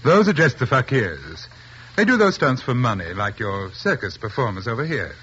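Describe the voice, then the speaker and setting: An actor playing a sly, menacing hypnotist uncle with formal, coaxing phrasing in 1940s radio drama style. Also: male voice, mid-range voice, very animated, normal speed, bright, forceful tone. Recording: muffled, noisy 1940s radio recording.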